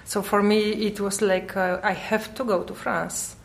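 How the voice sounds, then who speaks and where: A woman in her forties from Poland, with a Polish accent, answering interview questions in a hesitant, halting way and searching for English words. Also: mid-range voice, level tone, vocal fry. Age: 40s. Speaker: artist guest.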